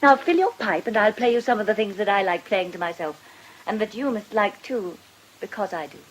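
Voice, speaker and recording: An actress playing a gentle, wistful, sympathetic woman in a radio drama, speaking earnestly with some hesitancy. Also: medium pitch, animated, fairly quick, a little hiss or hum, close to an everyday voice, poor audio. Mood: tender.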